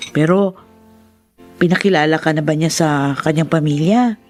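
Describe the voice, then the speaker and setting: An actress playing a cheerful, teasing young best friend in a radio drama, chatty and lively. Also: female voice, sits low, moderate pace, faint music behind. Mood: teasing, curious. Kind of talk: Tagalog radio drama acting.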